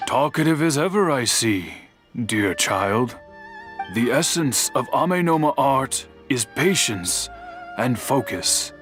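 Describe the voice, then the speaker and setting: A man playing an old, patient master swordsmith in a video game, speaking in a measured, formal, lecturing way. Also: mid-range voice, lively intonation, fairly bright tone, soft background music. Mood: calm. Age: older.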